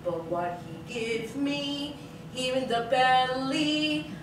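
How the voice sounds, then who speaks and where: A weary Italian mamma, complaining comically in broken English. Her high voice drawls very slowly in a sing-song accent, distant in an echoey theater. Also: animated. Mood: comic complaining. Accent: Italian, put on. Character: weary, comic Italian immigrant wife and mother of many. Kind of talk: broad comic stage acting. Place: small theater, live staged reading. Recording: echoey theater, distant mic, faint noise.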